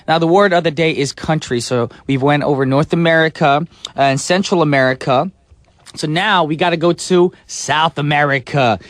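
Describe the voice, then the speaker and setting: A man's voice: on the high side, normal speed, no room sound. A man hosting a radio music show, playful and chatty, sometimes breaking into sung bits and silly voices. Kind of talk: upbeat radio DJ patter. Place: radio studio. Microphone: studio microphone.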